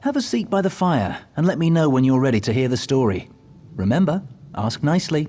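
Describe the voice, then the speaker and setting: An actor voicing a whimsical, coy gatekeeper who tells stories theatrically in a fantasy game. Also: male voice, a little high, big pitch swings, brisk, mellow, a little hiss or hum. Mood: playfully instructive. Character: whimsical, courteous-demanding male gatekeeper and storyteller. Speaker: storytelling gatekeeper.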